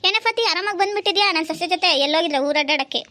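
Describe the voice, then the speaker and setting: A woman playing a nagging middle-aged mother-in-law who scolds loudly and grumbles to herself. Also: extremely high pitch, rapid-fire. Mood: scolding.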